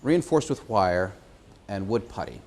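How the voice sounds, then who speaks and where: A man giving an informal explanation as a puppeteer presenting a show, pausing and hesitating as he goes. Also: lowish voice, sing-song, creaky, darkish tone.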